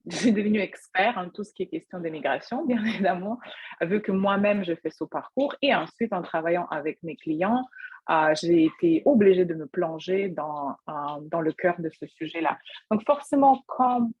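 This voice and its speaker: Husky woman in her thirties. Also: on the low side, animated, moderate pace, no echo. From Ukraine. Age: 30s. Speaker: guest panelist, volunteer community navigator. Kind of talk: informal spoken self-introduction. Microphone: video call. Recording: dull lo-fi online call audio.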